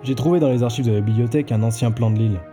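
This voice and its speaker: A man's voice: medium pitch, rapid-fire, dark tone, lightly acted. A man acting an earnest, self-assured investigator in an audio drama. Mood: intent. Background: music.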